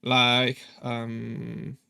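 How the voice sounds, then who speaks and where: A man's voice: medium pitch, monotone, extremely slow, vocal fry, bright, forceful tone, smooth, dry recording, clean studio sound. A young man with a French accent chatting casually on a live stream, hesitant with many fillers and restarts. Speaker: VTuber streamer.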